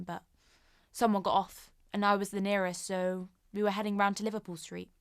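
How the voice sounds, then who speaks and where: A woman performing a naturalistic modern monologue as a shaken commuter, conversational, subdued and halting. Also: medium pitch, dry recording, clean studio sound, lightly acted. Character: shaken woman commuter recounting a frightening train ordeal.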